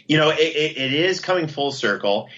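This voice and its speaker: A man talking as an interview guest in an emphatic, pitching, storytelling way, over a slightly dull connection. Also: expressive, moderate pace, a bit bright. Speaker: podcast host and producer, interview guest. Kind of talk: conversational interview.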